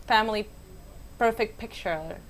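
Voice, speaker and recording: A woman's voice: medium pitch, measured pace, slight background noise, low-quality recording. A woman journalist asking direct, probing questions in an interview.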